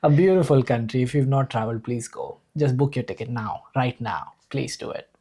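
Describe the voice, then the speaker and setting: A man's voice: medium pitch, animated, brisk, dark tone. A man from India with an Indian accent, telling his life story earnestly and enthusiastically in a casual podcast conversation. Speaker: podcast guest, usual host.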